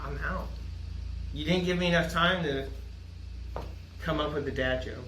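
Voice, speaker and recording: A man's voice: fairly high pitch, normal speed, fairly bright tone. A man co-hosting a podcast in casual banter, defending himself and telling dad jokes. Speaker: podcast co-host. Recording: echoey room with faint noise.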